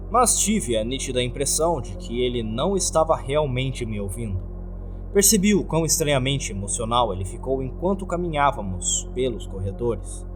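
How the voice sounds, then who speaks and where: A man with a Brazilian accent reads a scripted horror story as its first-person narrator. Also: medium pitch. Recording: music behind the voice.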